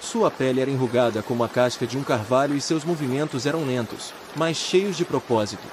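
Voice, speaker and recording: A male narrator with a mid-range voice tells a sleep story. His delivery is gentle, measured and soothing. Clearly audible rain and running water sit behind his words. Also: mid-range voice, noisy background. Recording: loud steady rain and water ambience. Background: rain sounds.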